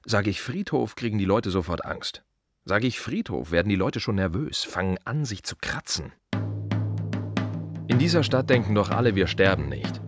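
A man's voice: on the low side, animated, normal speed, husky, soft background music. A man playing a world-weary, wry gravedigger in a radio play monologue, talking conversationally in short, emphatic, rhythmic repeated phrases. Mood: agitated, scornful. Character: world-weary, wry male gravedigger musing on death and the city.

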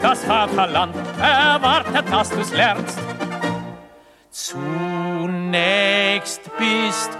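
Slow singer over prominent music. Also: mid-range voice. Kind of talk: sung cabaret chanson of satirical verse. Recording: music behind the voice. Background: musical accompaniment.

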